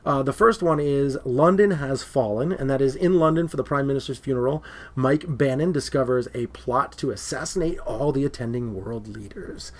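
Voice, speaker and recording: A man's voice: medium pitch, moderate pace. Guy cohosting a movie chat show, laughs a lot, says um a ton, voice kinda going. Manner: laughs often, lots of fillers. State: losing his voice. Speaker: podcast co-host. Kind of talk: casual movie talk.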